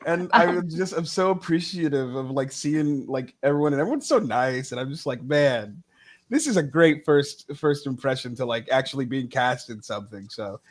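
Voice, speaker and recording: A young man chatting casually, excitable and appreciative, often stumbling over his phrases. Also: mid-range voice, normal speed. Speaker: newly cast voice actor. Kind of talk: casual chat.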